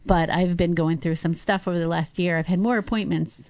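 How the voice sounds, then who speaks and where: A woman's voice: lowish voice, fairly even intonation, brisk, a little mellow, no room sound, highs cut off. A woman in her late forties co-hosting a podcast, speaking candidly and chattily about her own life. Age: late 40s. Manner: candid, chatty, laughs easily. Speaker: podcast co-host. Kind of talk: casual podcast conversation.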